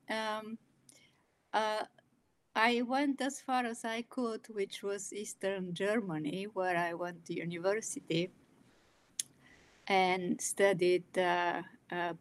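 A woman in her sixties from Bulgaria, speaking English with a Bulgarian accent, telling her life story as a guest with many pauses and fillers. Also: mid-range voice, steady tone, low-quality recording. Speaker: guest, illustrator and author. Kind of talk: personal storytelling in an interview.

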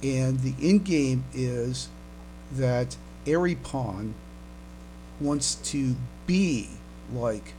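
A man hosting a casual podcast discussion, speaking haltingly and repeating words, over a noisy internet call. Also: medium pitch, animated, warm tone. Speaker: podcast host.

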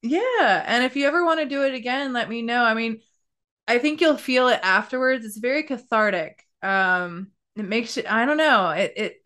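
A woman's voice: fairly high pitch, a bit bright. A woman hosting a podcast interview, upbeat and effusive, laughing often as she chats. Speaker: podcast host. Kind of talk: casual interview chat.

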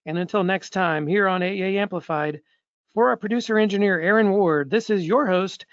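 A man's voice: high voice, normal speed, no room sound. A man hosting a podcast, asking questions and reading sign-off announcements in an upbeat, promotional way. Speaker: podcast host. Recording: dry, slightly dull audio.

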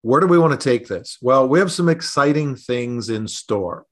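A middle-aged man speaks in a mid-range voice in a conversational podcast interview. He explains his points thoughtfully, sometimes halting to find the right word. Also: mid-range voice, lively intonation. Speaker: podcast host and resilience consultant.

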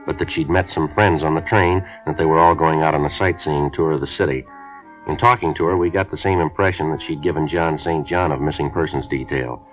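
Deadpan police sergeant, very deep voice, brisk clipped delivery, dull lo-fi old radio sound. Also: male voice. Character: terse, matter-of-fact male police sergeant. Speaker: police detective narrator. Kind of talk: 1950s radio drama acting. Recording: dull lo-fi 1950s radio broadcast.

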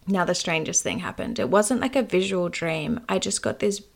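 A woman's voice: lowish voice, lively intonation, moderate pace, vocal fry. A woman who works as a dream teacher, telling a personal story to her viewers in an earnest, heartfelt way.

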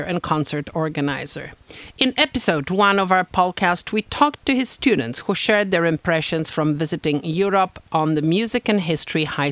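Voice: mid-range voice, fairly bright tone, no room sound. A podcast narrator giving a scripted introduction to the episode.

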